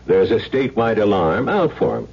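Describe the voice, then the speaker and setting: An actor playing a measured, folksy small-town sheriff and old family friend in a 1970s radio drama. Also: male voice, fairly low pitch, very animated, gravelly, husky, exaggerated voice. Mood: measured, reasoning. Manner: folksy, deliberate, reasoning aloud. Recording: dull lo-fi old radio broadcast.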